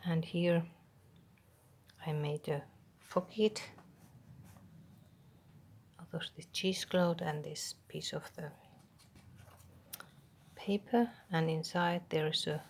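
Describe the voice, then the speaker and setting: A Finnish woman with a Finnish accent, whose first language is Finnish, quietly showing a handmade journal page by page, half-whispering with pauses and ums. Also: sits low, gravelly. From Finland. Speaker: craft channel host. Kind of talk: soft show-and-tell of a handmade journal.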